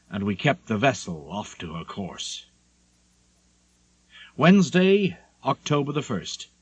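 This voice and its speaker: Expressive male audiobook narrator, lively pitch. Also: no room sound, rough recording. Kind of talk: scripted literary narration of a memoir.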